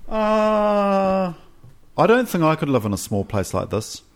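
A man from New Zealand with a Kiwi accent, a documentary maker talking as a guest in a casual chat, measured and reflective. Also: sits high, big pitch swings.

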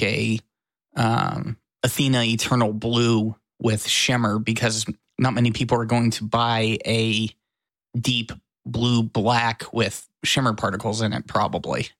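A man's voice: mid-range voice, fairly bright tone, no room sound, clean studio sound. An American man co-hosting a podcast in casual, wry and self-deprecating conversation. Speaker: podcast co-host. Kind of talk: casual chat.

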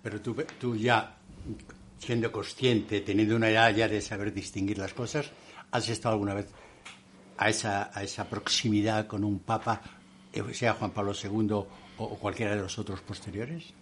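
A man from interviewing a guest in a relaxed conversation, asking questions with playful, joking asides. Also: lowish voice, moderate pace, a little hiss or hum. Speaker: interviewer, writer.

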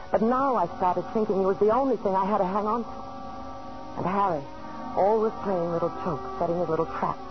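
A woman's voice: medium pitch, close to an everyday voice. A woman playing a bitter, stifled wife in a 1940s radio drama, speaking in a tense, confiding, dramatic way. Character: bitter, stifled wife telling of her failing marriage. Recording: dull 1940s radio recording with music.

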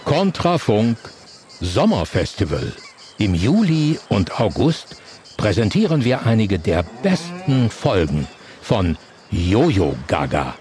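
A man announcing a radio station's summer festival promo in a slow, drawn-out way. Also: fairly low pitch, wildly swooping pitch, darkish tone, a little hiss or hum.

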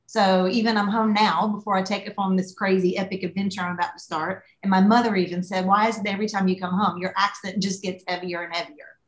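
A woman's voice: mid-range voice, level tone, fast talker, roomy sound, poor audio. A middle-aged woman from the US South with a thick Gulf Coast accent, chatting as a podcast guest, laughing easily and calling people honey. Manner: warm, laughing, says honey. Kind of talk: casual interview chat.

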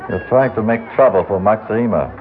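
A man's voice: fairly low pitch, fairly quick, over music, a crowd in the background, natural voice. An actor playing a slick, suspicious cafe boss, a sly and threatening tough guy with clipped speech in a 1960s radio drama. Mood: suspicious, menacing. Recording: narrow-band vintage 1960s radio recording.

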